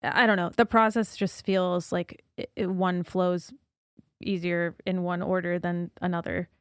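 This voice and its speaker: A young woman, a singer-songwriter guest, talking casually and thoughtfully in a podcast interview, soft-spoken with many fillers. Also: lowish voice, flat delivery, measured pace, smooth, no room sound, clean studio sound.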